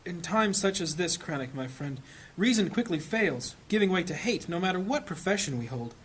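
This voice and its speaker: A man voicing a gentle, anxious, pious priest with a husky voice in a fantasy audio drama. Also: expressive, normal speed, exaggerated voice. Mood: sorrowful, conciliatory. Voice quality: high, husky.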